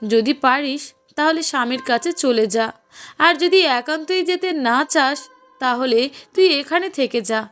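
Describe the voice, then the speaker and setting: A woman's voice: high voice, a little slow, a bit bright. A woman playing a loving, caring young wife in a cartoon, speaking in a sweet, coaxing, emotive way. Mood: kindly advising. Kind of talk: cartoon dialogue acting. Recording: voice over prominent music bed. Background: music.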